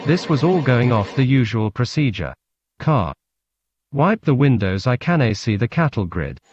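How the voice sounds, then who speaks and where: A man with a Scottish accent reads a literary story laced with Scots words in a deadpan, clipped manner. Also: medium pitch, moderate pace, a crowd in the background. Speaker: narrator, storyteller. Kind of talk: literary story reading in Scots-flecked English. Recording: muffled lo-fi recording with background noise.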